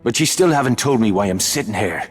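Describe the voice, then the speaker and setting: A male actor playing a tough, sardonic, vengeful Irish mechanic in a video game, with an Irish accent and a gruff, defiant manner. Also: lowish voice, animated, fast talker, dry recording. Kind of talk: dramatic video game acting. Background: music.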